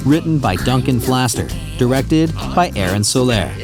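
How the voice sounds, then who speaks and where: A man's voice: mid-range voice, lively intonation, normal speed. A man announcing a scripted radio show as its presenter. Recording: music under the voice. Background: music.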